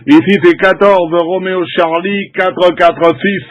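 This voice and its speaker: A man's voice: high-pitched, steady tone, takes it slowly, highs cut off. A middle-aged man from France chatting casually and informally as a CB radio operator, using radio jargon. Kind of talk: casual CB radio contact chat.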